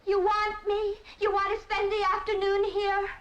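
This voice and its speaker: A high-voiced, needy girlfriend in an old crime drama. She is clingy, emotional and easily wounded, with a dramatic delivery. The recording has noticeable noise. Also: monotone, normal speed, lo-fi sound. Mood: hurt, accusing. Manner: emotional, dramatic, swinging from cooing to scornful. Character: lonely, clingy, wounded girlfriend of a shady man.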